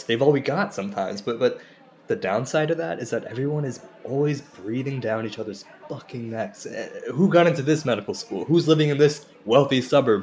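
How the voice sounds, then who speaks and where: An actor playing an insecure, self-deprecating comedian in a naturalistic audio drama, halting, confessional and profane. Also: male voice, mid-range voice, close to an everyday voice. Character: insecure, self-deprecating Indian-American stand-up comedian, torn by shame.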